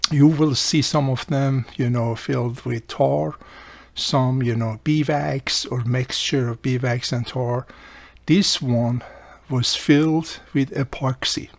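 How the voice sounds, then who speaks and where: A man casually hosting a hands-on repair tutorial, explaining step by step and repeating key points, with a gravelly, husky voice. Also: mid-range voice, fairly even intonation, slow, no echo. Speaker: radio repair video host.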